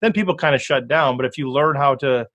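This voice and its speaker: A man's voice: mid-range voice, fairly quick, a bit bright. A man hosting a podcast interview, chatty and upbeat, laughing easily as he talks. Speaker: podcast host. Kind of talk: casual interview chat.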